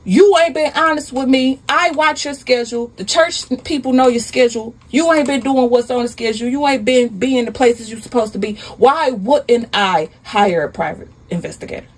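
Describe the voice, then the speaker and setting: A woman voicing a betrayed, furious wife who confronts her cheating husband in a loud, accusing, indignant manner, in a spoken story. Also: a little high, normal speed, hardly any pauses, far from the mic, close to an everyday voice. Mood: cold anger.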